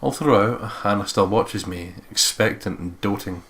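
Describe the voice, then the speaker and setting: A man's voice: lowish voice, normal speed, a bit bright, a little hiss or hum. A man hosting a let's play, reading visual novel narration aloud and breaking off for joking asides.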